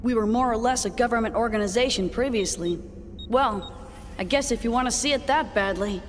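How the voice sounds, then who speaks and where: A woman's voice: on the high side, a little fast, in a large hall, a little hiss or hum, natural voice. A woman voicing a blunt, self-assured executive who explains things colloquially in a sci-fi video game. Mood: wry.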